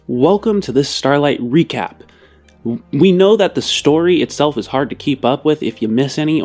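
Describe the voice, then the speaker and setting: A man's voice: mid-range voice, darkish tone. A man hosting a podcast, narrating a partly improvised recap of a story. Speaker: podcast host. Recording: music under the voice. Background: music.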